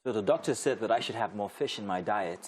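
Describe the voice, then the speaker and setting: A man's voice: lowish voice, quick pace, darkish tone, a little hiss or hum. A man from Nigeria co-hosting a breakfast TV show, joking and teasing his co-host, with light music playing. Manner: jokey, teasing. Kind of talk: playful breakfast TV banter. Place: TV studio.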